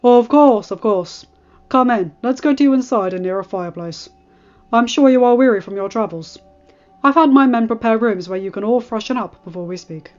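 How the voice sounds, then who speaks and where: A woman voicing a booming, hospitable commander of a fortress. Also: normal speed, darkish tone, close to an everyday voice. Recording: dull audio with faint music.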